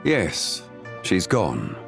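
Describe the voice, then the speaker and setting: Wise, grave game sage: deep voice, unhurried delivery, formal and cryptic. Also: male voice, huge pitch swings, creaky, music in the background, full character voice. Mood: grave, explanatory. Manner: solemn, formal, deliberate, cryptic. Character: calm, wise, learned man of ancient gravitas. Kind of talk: video game voice acting.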